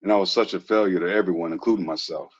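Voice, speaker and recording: An actor reading a frank, laid-back twenties ex-athlete who is quietly troubled, slangy and earnest, in a TV drama table read. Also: male voice, sits low, steady tone, rapid-fire, breathy edge. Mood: heavy, confessional. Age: young adult. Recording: dull lo-fi recording.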